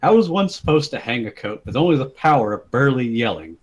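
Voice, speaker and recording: Male amateur story reader, mid-pitched and lively, with a rough husky edge. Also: brisk. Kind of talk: dramatic reading and casual voice chat.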